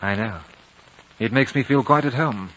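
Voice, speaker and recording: An actor playing a courteous, world-weary war veteran in a 1950s radio drama, polite, earnest and reflective. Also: male voice, mid-range voice, moderate pace, fairly bright tone, lightly acted. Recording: dull, noisy old radio recording.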